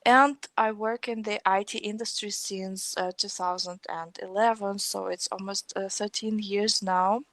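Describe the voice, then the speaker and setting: A woman's voice: medium pitch, fairly even intonation, a little slow, few pauses, fairly bright tone, no echo. A woman in her thirties with a Russian accent, talking conversationally as an interview guest. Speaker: podcast guest, technical trainer.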